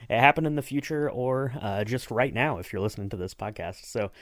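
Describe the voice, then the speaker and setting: A man hosting a podcast in casual chat, speaking haltingly as he thinks out what to say. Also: medium pitch, lively intonation, no echo, studio quality. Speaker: podcast host.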